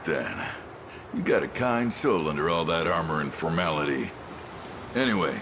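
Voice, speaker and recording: A man's voice: sits low, noisy background. A man playing a wry, roguish mercenary boss in a video game, talking in folksy slang full of bravado. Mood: frank, confident. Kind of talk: video game cutscene voice acting.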